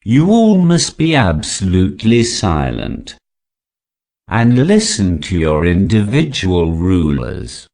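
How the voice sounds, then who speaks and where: A man's voice: low-pitched, huge pitch swings, takes it slowly, creaky, a little mellow, full character voice. A man playing a stern, imperious overlord, commanding his subjects theatrically in a scripted drama. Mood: stern, threatening.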